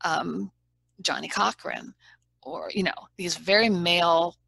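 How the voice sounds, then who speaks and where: Gravelly-voiced woman lawyer, earnest and frank. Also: on the low side, unhurried, sharp tone, dry recording. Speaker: trial lawyer, guest. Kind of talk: conversational interview.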